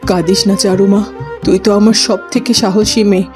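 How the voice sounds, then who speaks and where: A woman playing a middle-aged queen mother in a fairytale cartoon, gentle, consoling and advising. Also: mid-range voice, fairly even intonation, a little fast, natural voice. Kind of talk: cartoon voice acting. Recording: music behind the voice.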